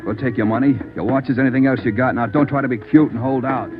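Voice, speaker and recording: Male actor for sneering hold-up thug, slangy, quick delivery, muffled 1940s crime radio sound, prominent music. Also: medium pitch, steady tone, natural voice. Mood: brisk, threatening. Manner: curt, slangy, threatening. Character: tough, sneering hold-up man, small-time gangster. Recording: dull old radio recording, loud music behind.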